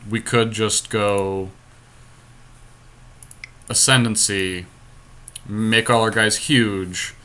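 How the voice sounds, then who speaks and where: A man with a fairly low voice and a bright, forceful edge. He works through game moves out loud, counting and reasoning as he goes, in the casual style of a podcast co-host. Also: fairly even intonation, very slow, long pauses, clean tone. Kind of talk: casual strategy commentary while playing a card game.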